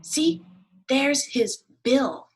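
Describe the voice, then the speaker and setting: A woman voicing an excited, insistent storybook character arguing a point in an emphatic, exclamatory way. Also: sits high, drawn-out words, voice pushed up, full character voice. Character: excited, insistent arguer who sees a duck.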